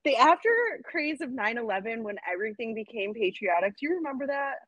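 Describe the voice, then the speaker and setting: A woman's voice: a little high, quick pace, no echo, lo-fi sound. A woman, hosting a podcast in a frank, chatty way, full of "like". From United States. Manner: chatty, lots of "like", animated and frank. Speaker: podcast host. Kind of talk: casual chat.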